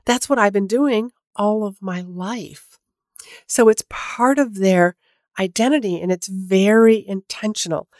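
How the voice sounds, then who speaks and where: A woman's voice: mid-range voice, measured pace, a little mellow, dry recording, clean studio sound. A woman hosting a solo podcast, talking in a chatty way with lots of fillers and restarts, sounding a little nasal from a head cold. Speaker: podcast host. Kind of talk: casual solo podcast talk.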